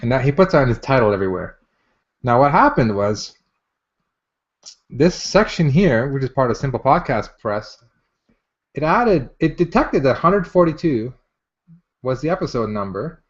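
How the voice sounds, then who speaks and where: A man giving a casual live software demo in an informal, enthusiastic way, chatting with online viewers as he presents. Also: medium pitch, big pitch swings, moderate pace, long pauses. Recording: dull, muffled lo-fi online audio.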